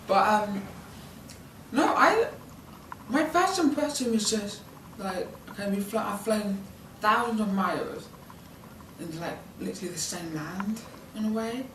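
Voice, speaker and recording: A woman's voice: medium pitch, animated, measured pace, fairly bright tone. A nineteen-year-old British woman whose first language is British Sign Language gives informal interview answers, hesitant and rambling with many ums and likes. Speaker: student intern giving an interview. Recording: echoey room, distant mic, background noise.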